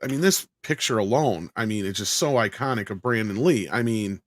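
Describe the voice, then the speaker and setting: A man's voice: fairly low pitch, fairly quick, no room sound, clean studio sound. A man chatting casually about films as a panelist on a pop culture show. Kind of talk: casual film chat.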